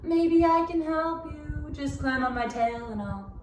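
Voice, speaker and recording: A woman voicing a sly male fox with a smooth, coaxing, smirking manner. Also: high-pitched, lively intonation, measured pace, a little mellow, echoey room, far from the mic, slight background noise, voice pushed up.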